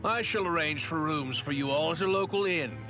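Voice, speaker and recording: An actor playing a lord's dutiful retainer in a video game, formal and courteous but cool. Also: male voice, a little high, normal speed, close to an everyday voice. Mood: cool, polite. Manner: formal, polite, clipped courtesy. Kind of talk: dramatic video game cutscene acting. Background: music.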